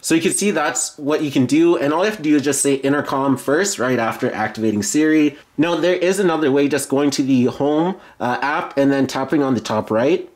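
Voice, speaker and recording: A man's voice: fairly high pitch, brisk, few pauses. A man giving an informal, explanatory product demo as a tech reviewer.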